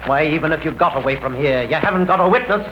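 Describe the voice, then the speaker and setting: A man plays a scheming crook in an old radio drama. He is sneering and impatient, his fairly high voice swinging theatrically up and down. The sound is dull, as on a scratchy vintage broadcast. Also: lively intonation, fast talker, close to an everyday voice. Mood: sneering, irritated. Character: scheming, impatient male swindler and blackmailer. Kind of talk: 1930s radio drama acting.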